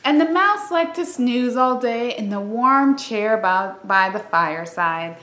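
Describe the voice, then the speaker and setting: A young woman reading a children's storybook aloud in an expressive, explanatory way, in a room at home. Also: a little high, measured pace, echoey room. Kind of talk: storybook read-aloud with casual asides. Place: small room at home, by a window.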